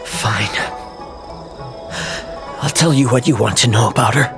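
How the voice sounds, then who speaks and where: An actor voicing a calm male healer held hostage in a video game cutscene, speaking in a measured, bargaining way. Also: mid-range voice, natural voice. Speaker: captive healer. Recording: game cutscene with music behind.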